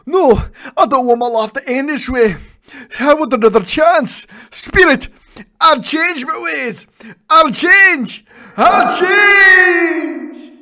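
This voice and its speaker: An old storybook miser, strained and pleading, his voice climbing high and loud with emotion, as a man puts him on. The delivery is tense and theatrical. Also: very animated, slow. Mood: desperate. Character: old miserly man, frightened then joyous.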